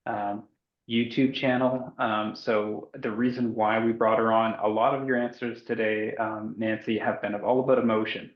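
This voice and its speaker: A man hosting an online workshop, wrapping up with announcements over a video call in a plain manner. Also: on the low side. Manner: plain announcing, flat delivery. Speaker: event host. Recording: roomy, dull video-call audio.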